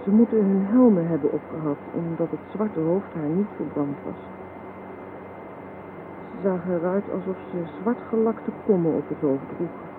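A performer playing a solemn survivor of a bombing, giving grave, measured testimony in a radio play. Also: mid-range voice, close to an everyday voice. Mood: grave, haunted. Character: solemn eyewitness survivor recalling horrific bombing. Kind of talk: dramatised testimony monologue. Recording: noisy, tinny 1970s radio play recording.

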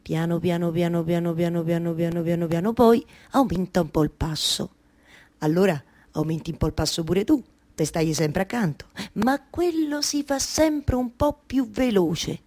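A woman's voice: lowish voice, moderate pace, warm tone. An actress playing a melancholy, wry waiting woman in a theatrical monologue, with a Roman Italian accent and repetitive, colloquial storytelling. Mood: wistful, intimate. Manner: incantatory repetition, shifting from declaiming to intimate colloquial storytelling.

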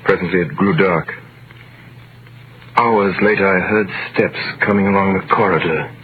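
A man's voice: deep voice, moderate pace, lightly acted. Man acting earnest young sailor, tinny hissy radio. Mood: somber narrating. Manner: deferential, earnest. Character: earnest, trusting young sailor, wrongly accused, anxious. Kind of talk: 1930s radio drama acting with first-person narration. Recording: tinny vintage radio broadcast, clear hiss.

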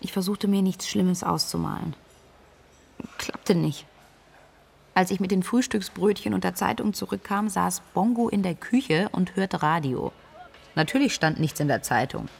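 An actress playing a worried, candid young woman giving her account in an interview-like radio drama, her voice husky and creaky. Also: lowish voice, a little slow, heavily acted.

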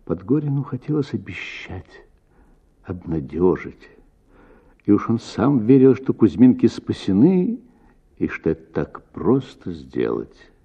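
A man reading a literary short story as an actor, with expressive, theatrical phrasing. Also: on the low side, measured pace, creaky, slightly rough, muffled recording. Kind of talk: expressive literary audiobook narration.

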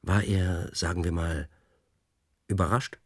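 A man's voice: sits low, fairly even intonation, measured pace. An actor playing an agitated, suspicious man in a German radio play, speaking with probing, repetitive insistence. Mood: probing, insistent.

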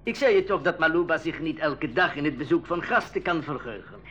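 Island guru for radio play: forceful bright tone, highish pitch, lavishly hospitable and formal. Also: male voice, animated, fairly quick, highs cut off, faint music behind. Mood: warmly formal. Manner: ceremonious, flowery, hospitable speech. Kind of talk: 1980s school radio drama acting.

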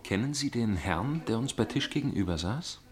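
An actor playing an inquisitive, suspicious gentleman narrator in a radio play, speaking in a measured, formal way. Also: fairly low pitch, expressive, close to an everyday voice. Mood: curious, probing. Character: inquisitive gentleman narrator, suspicious dinner guest with probing temper.

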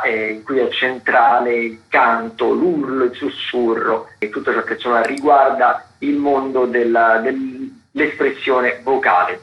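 A man hosting a radio arts programme, talking through listings in a halting way with drawn-out words. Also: medium pitch. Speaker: radio host presenting theatre and dance listings. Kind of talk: semi-scripted radio arts commentary. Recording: roomy echo, slightly dull radio sound.

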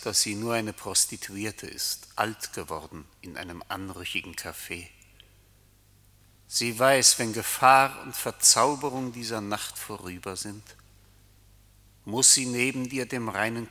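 An actor playing a menacing, cruelly mocking night rider, declaiming tauntingly in a reverberant radio play. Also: male voice, on the low side, bright, forceful tone, exaggerated voice. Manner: declamatory, taunting, deliberate phrasing. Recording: long hall reverb.

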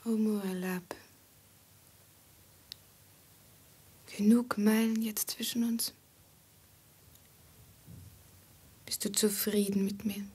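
Breathy, whispering woman in love. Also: mid-range voice. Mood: hurt, resigned. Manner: slow, hushed, intimate delivery. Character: devoted woman in love, fearless and quietly proud. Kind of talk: radio drama acting.

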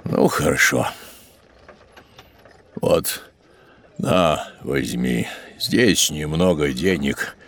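An actor playing a careworn middle-aged father, a gently apologetic shopkeeper with a gravelly voice, in an audio drama. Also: extremely low pitch, very slow, long pauses, heavily acted. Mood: heavy, tender. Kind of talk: Russian audio drama acting.

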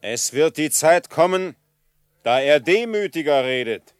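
An actor playing a proud, cunning middle-aged chief in a radio drama, speaking solemnly and with haughty formality. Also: male voice, a little high, lively intonation, measured pace, smooth, dry recording. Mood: proud, scornful. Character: proud, cunning Comanche chief of about fifty. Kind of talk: German radio drama acting.

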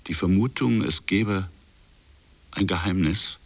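A brooding colonial official in an introspective radio play. His voice is very low and creaky, with a husky grain. He muses haltingly, in broken phrases, as if searching his memory. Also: dry recording, exaggerated voice. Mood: brooding, hesitant. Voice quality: gravelly, husky. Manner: halting, musing, fragmented phrases. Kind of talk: introspective radio drama monologue.

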